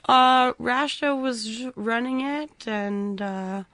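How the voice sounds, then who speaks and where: A young woman from Canada, a stand-up comedian, chatting casually as a guest with a slightly hoarse voice and frequent laughs. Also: fairly high pitch, takes it slowly, fairly bright tone, dry recording. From Vancouver, Canada. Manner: chatty, laughs often between thoughts. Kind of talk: casual interview chat.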